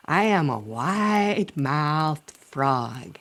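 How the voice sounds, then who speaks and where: A woman's voice: sits low, lively intonation, exaggerated voice. A woman voicing a proud, naive male frog in a joke, with stretched wide-mouthed words and exaggerated greetings. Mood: eager, boastful. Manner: loud, exaggerated, drawn-out greetings. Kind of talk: spoken joke storytelling.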